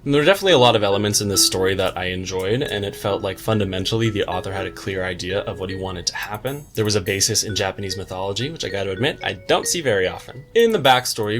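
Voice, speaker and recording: A man giving a scripted book review commentary in a wry, tongue-in-cheek, slangy way. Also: fairly low pitch. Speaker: book reviewer, video host. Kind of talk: scripted video essay commentary with slangy asides.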